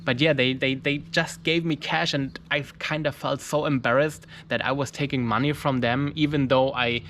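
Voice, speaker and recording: A man from Germany recounts a hitchhiking trip in English, his voice sitting at an ordinary middle pitch. He stops and restarts often and hunts for the right word. The telling is loose and conversational. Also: fairly even intonation, fairly bright tone, slight background noise. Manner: halting, many restarts and fillers, searching for words. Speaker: mountaineer vlogger. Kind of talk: casual storytelling.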